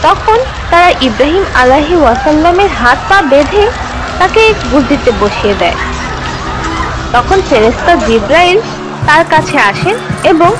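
A woman narrating a scripted children's story in an expressive, teacherly way. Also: sits high, measured pace, pauses often, over music, poor audio. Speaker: narrator of a children's religious cartoon.

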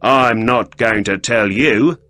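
A man's voice: medium pitch, sharp tone. A man doing a grumpy goose seller. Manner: irritable, quick retorts.

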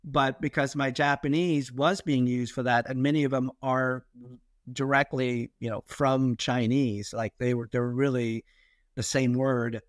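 A man's voice: medium pitch, fairly even intonation, a little slow, no room sound, clean studio sound. A middle-aged man with an American accent, talking in a reflective, hesitant, conversational way as a guest telling his own story in an interview. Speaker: podcast guest, investor.

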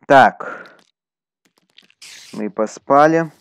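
A man's voice: medium pitch, very slow, clean tone, no room sound. Casual male gamer chatting over gameplay. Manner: chatty, talks to his horse and to viewers. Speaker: gaming YouTuber. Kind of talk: casual Minecraft let's play commentary.

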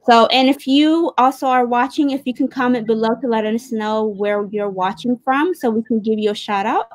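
A woman's voice: a little high, normal speed, dry recording. A woman casually co-hosting a livestream over a video call. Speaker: livestream co-host. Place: indoor room at home, on video call. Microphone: video call device.

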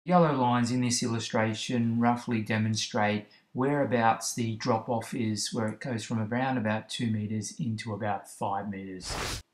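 A man, host of a fishing channel, narrating instructional tips in a steady, explanatory way. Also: lowish voice, moderate pace. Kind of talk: instructional voice-over about kayak fishing spots. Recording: roomy, echoey recording.

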